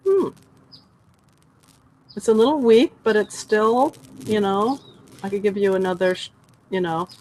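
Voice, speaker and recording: A woman's voice: medium pitch, a little slow, pauses often, a little mellow. Woman healer walking someone thru their chakras, easygoing, lots of okays, faint hiss behind. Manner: reassuring, says okay a lot. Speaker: energy healer. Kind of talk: casual chakra reading session.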